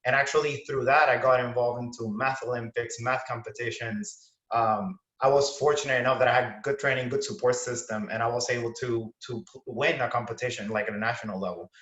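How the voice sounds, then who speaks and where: A man's voice: mid-range voice, steady tone, fairly quick, fairly bright tone. A young man from Puerto Rico on a panel, earnestly telling his story in a conversational way. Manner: earnest, conversational, many fillers. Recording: roomy, dull-sounding video call audio.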